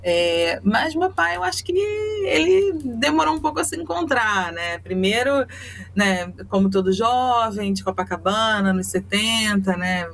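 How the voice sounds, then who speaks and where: A woman from Rio de Janeiro with a Carioca accent, a journalist guest speaking reflectively and tenderly, with frequent pauses. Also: medium pitch, fairly bright tone, a little hiss or hum. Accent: Carioca Brazilian Portuguese. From Rio de Janeiro, Brazil. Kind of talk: personal interview.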